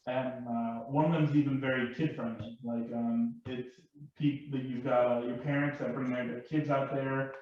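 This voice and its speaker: A man in a casual panel discussion, speaking haltingly and often repeating his words. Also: mid-range voice, fairly even intonation. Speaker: panel guest and larper. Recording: very echoey, distant, dull, noisy microphone.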